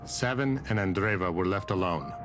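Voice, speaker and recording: A man's voice: low-pitched, expressive, normal speed. A man narrating a period detective visual novel as a sober storyteller, reading scripted prose. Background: music.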